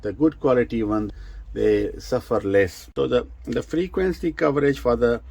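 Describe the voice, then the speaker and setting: A man who speaks English as a second language gives an unscripted product demonstration, explaining technical details in a halting way with repeated words. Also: mid-range voice, creaky, a little mellow, slightly rough. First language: not English. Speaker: presenter of a vintage radio review.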